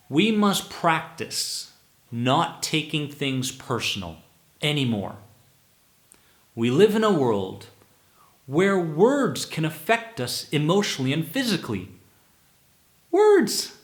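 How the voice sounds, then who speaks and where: Slow, emphatic man with lively pitch. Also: echoey room. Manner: emphatic, repeats words for stress, slow deliberate delivery. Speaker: anxiety coach. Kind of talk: motivational talk to listeners.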